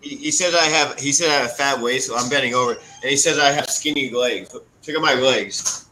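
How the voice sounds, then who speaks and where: A man's voice: mid-range voice, brisk, bright, forceful tone, rough recording. A man joining a casual live chat as a guest, laid-back and slangy.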